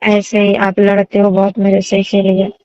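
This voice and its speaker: A woman's voice: medium pitch, monotone, moderate pace, a little mellow. A young woman chatting casually and intimately on a phone call, coy, often answering with short hums. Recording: dull lo-fi phone call recording, roomy.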